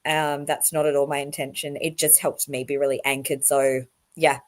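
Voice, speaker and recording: A croaky-voiced woman, still getting over an illness, hosts a casual livestream. Her voice sits a little low, and she rambles warmly through asides as she welcomes viewers by name. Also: little pitch movement, moderate pace. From Australia. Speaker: livestream host. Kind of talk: casual livestream talk to chat.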